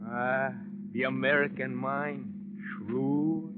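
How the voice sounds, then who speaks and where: A man playing an excitable, needling foreign sidekick in a 1950 radio drama, with stilted formal phrasing, on an old noisy recording. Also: medium pitch, takes it slowly, narrow, tinny audio, natural voice. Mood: eager, teasing. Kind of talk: 1950s radio drama acting.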